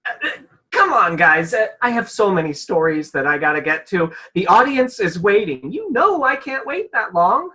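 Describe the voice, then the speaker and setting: A woman's voice: mid-range voice, sing-song, moderate pace, sharp tone. A woman acts a storyteller in a comic radio play who clings to tradition. The delivery is flustered and pompous, swooping up and down in exasperation. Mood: flustered, pleading. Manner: exasperated, hesitant when pleading, pompous when commanding. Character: flustered, officious fairy tale narrator, clinging to tradition. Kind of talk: comedic radio play acting.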